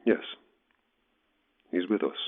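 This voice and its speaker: An actor playing an eerie, matter-of-fact male caller with a husky voice over a telephone filter in a horror audio drama. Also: takes it slowly. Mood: cold, insistent. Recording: narrow, tinny phone line.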